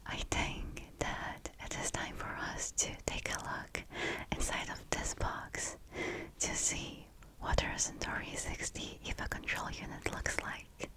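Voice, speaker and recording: A woman whispering throughout in a breathy, unvoiced ASMR style, narrating an unboxing with deliberate phrasing. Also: poor audio. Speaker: ASMR video creator presenting a product unboxing.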